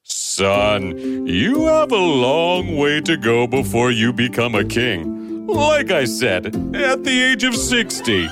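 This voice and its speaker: A man playing a scornful king and father in a children's bedtime story, with a mocking, theatrical, drawn-out delivery. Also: mid-range voice, huge pitch swings, over loud music.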